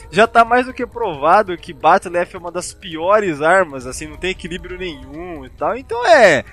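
Animated, high-pitched Brazilian man. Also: normal speed. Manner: animated, enthusiastic, swearing freely. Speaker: podcast host. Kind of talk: casual podcast chat.

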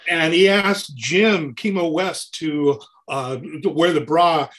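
A man chatting casually as an interview guest, telling rambling, animated anecdotes in a talkative way. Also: on the high side, slow. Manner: gabby, rambling, animated storyteller. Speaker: interview guest, touring keyboard player.

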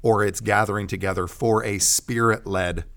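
Low-voiced, emphatic American preacher man. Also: steady tone, moderate pace, a bit bright. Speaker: pastor guest telling his testimony. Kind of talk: personal testimony in an interview.

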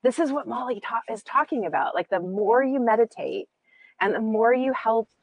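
A woman's voice: a little high, expressive, moderate pace, dry recording, poor audio. A woman chatting casually about meditation, rambling and giggling as she tells a story.

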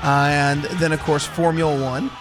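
Hoarse man hosting a radio show. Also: on the high side, level tone, moderate pace, music in the background. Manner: rambling, repeats words, restarts often. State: hoarse from laryngitis. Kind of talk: casual motorsport radio chat. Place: college radio studio. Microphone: studio microphone.

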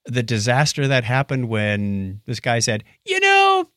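Guy podcast host just chatting and explaining stuff, really lively pitch, dry close sound. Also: a little slow, no room sound. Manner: explanatory, storytelling. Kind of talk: casual chat.

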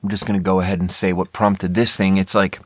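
American male podcast host, lowish pitch, candid conversational rambling, self-interrupting, very dry sound. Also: little pitch movement, fast talker, dry recording. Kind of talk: casual rambling solo talk.

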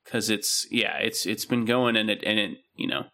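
A man's voice: lowish voice, moderate pace. A young man co-hosting a podcast, chatting casually and explaining things loosely with lots of "um" and "uh". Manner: relaxed, explains with many fillers. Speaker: podcast co-host. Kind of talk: casual chat.

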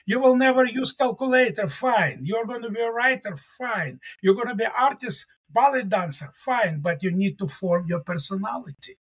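A husky, rather high-pitched man whose first language is Russian talks as a guest in an interview. He is middle-aged and speaks earnestly and emphatically, returning to his key words to stress them. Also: lively intonation, normal speed, hardly any pauses. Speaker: mathematics professor.

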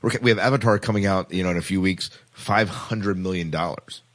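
A man hosting a casual podcast discussion, speaking a little haltingly and repeating words. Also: sits low, a bit bright. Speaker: podcast host.

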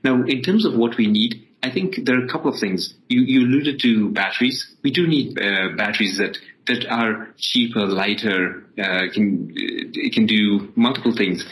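A man's voice: on the low side, moderate pace, hardly any pauses, a bit bright, low-quality recording. A man from Ethiopia, a startup founder, explaining things conversationally as an interview guest.

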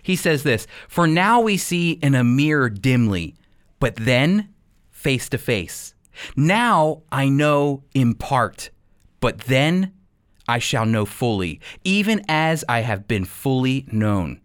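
Man, radio preacher, lively swooping intonation, deliberate emphatic phrasing, dry close studio sound. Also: medium pitch, no room sound. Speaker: radio Bible teacher and host. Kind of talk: scripted sermon and radio commentary.

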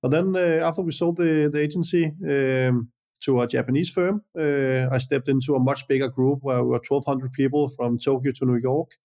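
A man's voice: mid-range voice, steady tone, warm tone. Danish man answering, hesitant. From Denmark. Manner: measured, many "uh" and "like" hesitations. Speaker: guest entrepreneur. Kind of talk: casual interview answers.

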